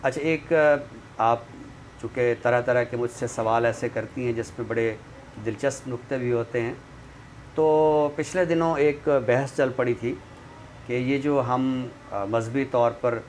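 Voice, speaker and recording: A man's voice: medium pitch, steady tone, unhurried, a little mellow. A man with a Pakistani accent discussing language and literature as an expert, explaining didactically with examples. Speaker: literary commentator and guest expert on a talk show. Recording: clear background noise.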